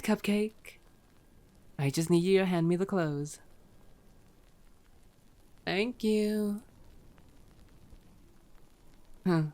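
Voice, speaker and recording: A woman's voice: fairly low pitch, darkish tone, close to an everyday voice. A woman voicing a playful, cocky female vampire in flirty, sardonic ASMR roleplay. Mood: sardonic teasing. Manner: flirty, sardonic, foul-mouthed banter.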